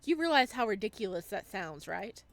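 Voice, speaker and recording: A woman voicing an awkward, puzzled young college man in a visual novel, halting and apologetic. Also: mid-range voice, sing-song, no echo. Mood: flustered. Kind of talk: visual novel character read-aloud.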